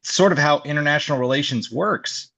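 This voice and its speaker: A man's voice: on the high side, brisk, creaky, pushed and bright. A man co-hosting a political talk show, arguing his point with many restarts and fillers. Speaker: co-host. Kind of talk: political discussion. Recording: dull lo-fi sound.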